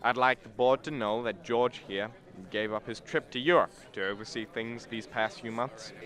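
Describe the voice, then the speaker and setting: A young man acting in a radio drama as a brisk, formal board chairman. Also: mid-range voice, fairly even intonation, a bit bright, natural voice. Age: young adult. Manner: formal, orderly.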